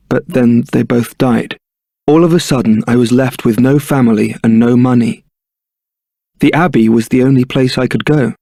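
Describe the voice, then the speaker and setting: An actor playing a young templar knight in a video game, suave, wry and brooding, with a theatrical, articulate delivery. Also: male voice, medium pitch, creaky, a little mellow, no room sound, studio quality. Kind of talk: video game voice acting, dramatic scripted dialogue.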